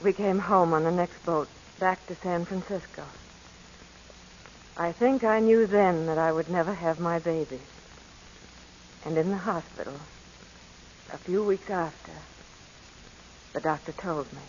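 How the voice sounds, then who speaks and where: A woman's voice: lowish voice, a little slow, long pauses, darkish tone, slight background noise, natural voice. A woman playing a grieving, wistful young wife in 1940s radio drama style. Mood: sorrowful. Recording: dull old radio recording with music behind.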